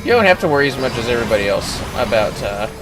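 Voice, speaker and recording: Animated man streaming a game over music. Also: mid-range voice, rapid-fire, low-quality recording. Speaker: livestream host, gamer. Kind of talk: casual game commentary and explanation.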